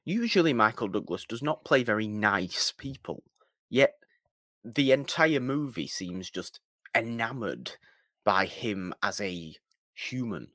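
A man co-hosting a film podcast, chatting casually and haltingly, repeating and rephrasing his points for emphasis. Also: fairly low pitch, lively intonation, sharp tone, no echo, clean studio sound.

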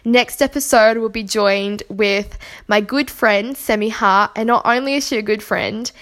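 A woman's voice: on the high side, measured pace. A woman hosting a solo podcast, talking earnestly and reflectively while nursing a cold and sore throat. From Australia. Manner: earnest, reflective, gently encouraging. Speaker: podcast host. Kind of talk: solo podcast monologue on kindness.

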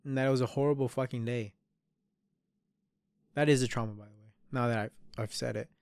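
A man's voice: mid-range voice, fairly even intonation, a little fast, a little mellow, smooth, no echo, studio quality. A man in his early twenties hosting a solo podcast, speaking candidly, swearing often and trailing off into restarts. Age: 20s. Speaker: podcast host. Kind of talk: solo reflective podcast monologue.

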